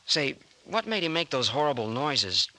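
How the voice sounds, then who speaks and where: A man acting in an old-time radio drama as an earnest, excitable young man with an insistent manner. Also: medium pitch, moderate pace. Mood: puzzled, curious.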